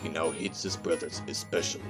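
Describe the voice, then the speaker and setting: Man playing a deep-voiced, grave witness. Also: steady tone, fairly quick. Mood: solemn. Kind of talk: audio drama acting. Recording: loud music behind the voice.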